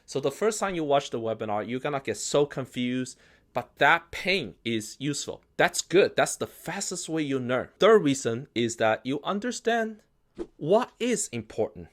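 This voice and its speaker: Founder type, Chinese native speaker, animated and emphatic, mid-pitched man. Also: moderate pace. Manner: animated, emphatic, acts out quoted reactions. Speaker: investment company founder. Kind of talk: casual explainer talk.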